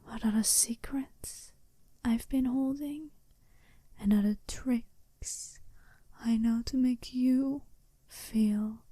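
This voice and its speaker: A very mellow, dark-toned werewolf bride acted by a woman, seductive and sure of herself. She speaks slowly and intimately in a soft half-whisper, teasing and deliberate, as in a close, dry ASMR roleplay. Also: on the high side, steady tone, dry recording. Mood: alluring, challenging.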